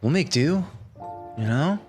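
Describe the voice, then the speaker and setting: A man's voice: on the high side, animated, measured pace, darkish tone, faint music behind. A man chatting casually as a player in a tabletop role-playing game, partly in character.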